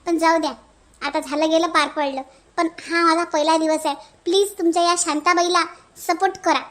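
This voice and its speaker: A man's voice: very high voice, fairly even intonation, moderate pace, a bit bright. A man voicing a cheerful middle-aged village woman in a cartoon, chatty and folksy with a Varhadi Marathi accent. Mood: pleading. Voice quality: folksy, chatty, adds "हं?" tag questions. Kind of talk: cartoon voice acting.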